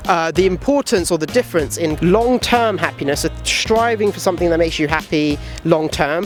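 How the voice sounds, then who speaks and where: A young man hosting an upbeat street interview in a city park, energetic and enthusiastic. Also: fairly high pitch. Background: music.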